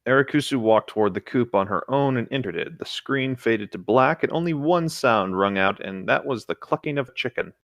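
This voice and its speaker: A man's voice: mid-range voice, expressive, a little fast, few pauses, fairly bright tone, no room sound. A man co-hosting a casual read-along of internet horror stories, with light joking comments between lines. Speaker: co-host reading internet horror stories aloud.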